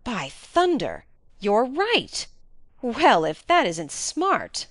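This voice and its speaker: A woman voicing a brisk, plain-spoken gentleman in an audiobook, emphatic, blunt and colloquial in manner. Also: mid-range voice, big pitch swings, dry recording, studio quality. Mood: impressed. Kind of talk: acted audiobook dialogue.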